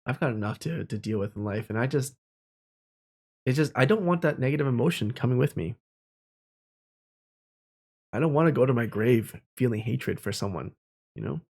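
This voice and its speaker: A man's voice: medium pitch, expressive, moderate pace, creaky, pauses often, a little mellow, breathy edge. Reflective young man telling stories casually. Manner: candid, informal, with mild swearing.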